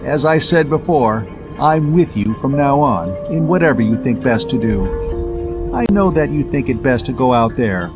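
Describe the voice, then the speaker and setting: A man's voice: mid-range voice, animated, normal speed, music in the background, close to an everyday voice. A man voicing a plucky, devoted young woman space traveler in a science fiction audiobook, with questioning, teasing banter.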